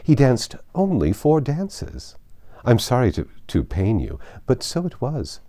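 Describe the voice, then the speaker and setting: A man voicing a witty, playful young gentlewoman in a storybook reading, with an arch, articulate manner. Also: medium pitch, wildly swooping pitch, measured pace, pitched above the performer's own voice. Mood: teasing. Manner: arch, teasing, formally articulate.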